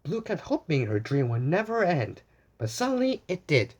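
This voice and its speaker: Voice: mid-range voice, sing-song, moderate pace, a little mellow, slightly rough, lo-fi sound. A story time host reading a storybook aloud to young children, with exclamations, asides and repeated phrases.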